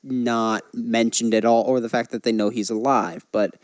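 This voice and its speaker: An enthusiastic man co-hosting a podcast in casual film chat. He speaks at a middling pitch and gives his opinions freely, recorded dry with little room sound. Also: steady tone, moderate pace, dry recording. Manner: opinionated, enthusiastic fan talk. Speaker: podcast co-host.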